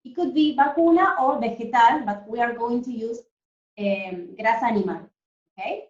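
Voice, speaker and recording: A woman with a fairly high voice teaches young children in an interactive lesson. She explains in simple terms and keeps asking short check questions. The sound is echoey and somewhat muffled. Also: normal speed. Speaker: primary school teacher leading an online lesson. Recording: echoey room, distant muffled mic.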